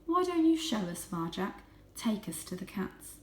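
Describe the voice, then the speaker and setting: A woman voicing a wise old grandfather cat, the quiet head of his family, with a measured, authoritative manner. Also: medium pitch, very animated, far from the mic, lightly acted. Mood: quiet, knowing. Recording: echoey room.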